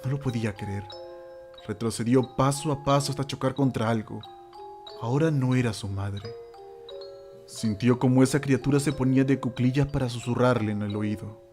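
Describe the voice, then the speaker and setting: A man narrating a horror radio drama from a script, theatrical and suspenseful, with dramatic pauses. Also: medium pitch, darkish tone, faint music behind.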